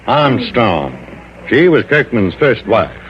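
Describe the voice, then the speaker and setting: A man's voice: lowish voice, sing-song, slow. An American man playing a cautious, fussy insurance executive in an old-time radio drama, precise and formal but often hesitant and hedging. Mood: guarded. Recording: dull vintage radio recording.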